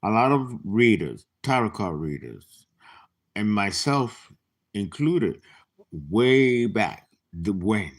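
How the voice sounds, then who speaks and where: A man in his late fifties giving a casual tarot reading, chatty and animated, with laughing asides and dropped whispers. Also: fairly low pitch, takes it slowly. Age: 50s. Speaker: tarot reader.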